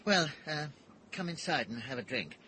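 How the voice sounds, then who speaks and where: A man's voice: a little high, lively intonation, normal speed, sharp tone, slight background noise. An actor playing a suave, courteous middle-aged man in a radio drama, polite and mildly authoritative. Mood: courteous, amused.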